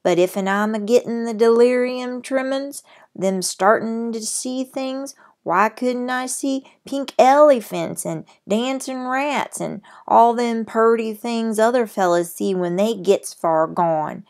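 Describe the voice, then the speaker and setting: A woman voicing a tiny drunken cowboy man with a Texas accent, shouting and drawling in folksy grammar. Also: fairly high pitch, takes it slowly, voice pushed up. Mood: self-pitying.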